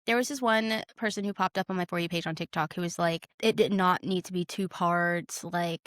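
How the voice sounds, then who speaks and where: A woman co-hosting a casual podcast, informal and animated as she tells things, acting out quoted asides. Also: fairly low pitch, brisk, dry recording, clean studio sound. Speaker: podcast co-host.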